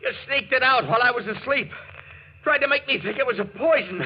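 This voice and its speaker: A man's voice: extremely high pitch, brisk. An actor in a 1940s radio drama playing a desperate, paranoid, hard-drinking man who rants, sneers and threatens. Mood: paranoid rage. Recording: muffled, noisy 1940s radio recording.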